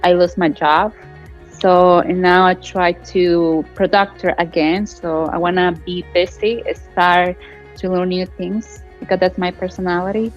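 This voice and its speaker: A woman's voice: lowish voice, little pitch movement, a little slow. Woman from el salvador talking about learning english, muffled audio, light music. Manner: non-native English, plain phrasing.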